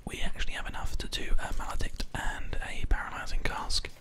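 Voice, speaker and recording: A gamer commentates on play in a breathy, unvoiced whisper, right up at a binaural mic. The delivery is ASMR, hushed throughout, with keys and mouse clicking behind it. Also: a little fast. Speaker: host, gamer. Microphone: close binaural microphone. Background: keyboard and mouse clicks.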